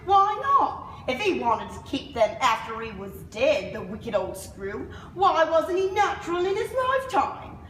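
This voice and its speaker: Brazen female housekeeper, high, drawling, spiteful radio-play voice, echoey room. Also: very animated, unhurried, hardly any pauses, a bit bright, full character voice. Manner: defiant, cackling. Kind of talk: radio drama acting. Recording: echoey room with faint background noise.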